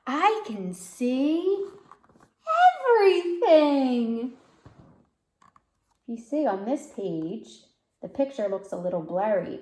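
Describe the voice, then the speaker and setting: A woman reading a children's storybook aloud like a teacher, pausing to explain words and put questions to listeners. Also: lowish voice, mellow. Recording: roomy, echoey sound.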